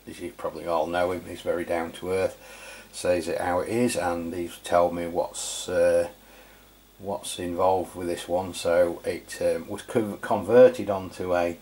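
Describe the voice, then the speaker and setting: A man's voice: deep voice, little pitch movement, a little slow, darkish tone. A man giving an informal, matter-of-fact product review as a channel host.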